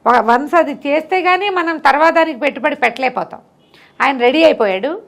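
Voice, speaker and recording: A middle-aged woman storyteller with a fairly high voice touched with creak. She tells folk tales conversationally, repeating words for emphasis and stepping aside to spell out the moral in simple terms. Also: animated, a little fast, a bit bright. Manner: didactic, explaining the moral simply.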